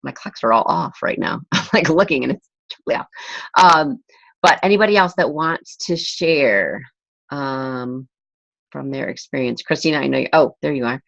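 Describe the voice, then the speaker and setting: A woman's voice: extremely low pitch, moderate pace, vocal fry, fairly bright tone, no echo. A woman leading her team on a group video call, upbeat and emphatic as she encourages everyone. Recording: dull video call audio.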